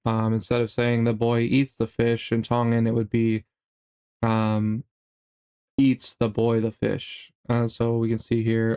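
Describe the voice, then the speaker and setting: A middle-aged man narrating a slideshow language lesson in a relaxed way, with many fillers and restarts. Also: mid-range voice, clear, resonant tone. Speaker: language teacher.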